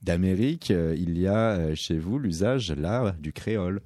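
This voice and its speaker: A man hosting a radio interview, asking measured questions with frequent hesitations. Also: deep voice, mellow, no room sound. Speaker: radio host.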